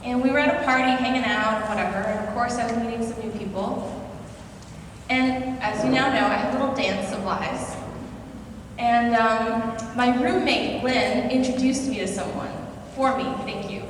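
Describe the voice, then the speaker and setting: A young woman with an American accent tells a story to a conference audience, her voice sitting a little high. She acts out lines of dialogue as she goes. The recording is distant and very echoey, with a long hall reverb and clear background noise. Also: normal speed. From Singapore. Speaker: conference speaker. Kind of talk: personal storytelling talk.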